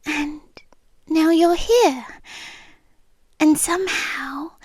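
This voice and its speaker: A British woman playing a shy, obsessive yandere girlfriend in an intimate ASMR roleplay, soft, breathy and hesitant, often slipping into a whisper. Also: high-pitched, no echo. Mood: nervous, smitten. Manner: slow, hesitant, confiding. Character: shy, obsessive young yandere girlfriend, sweetly intense and nervous.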